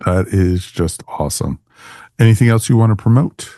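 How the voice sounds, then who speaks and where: Low-voiced man hosting a casual podcast. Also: normal speed, mellow, no echo, studio quality. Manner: chatty, informal. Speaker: podcast host. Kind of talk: casual podcast sign-off chat.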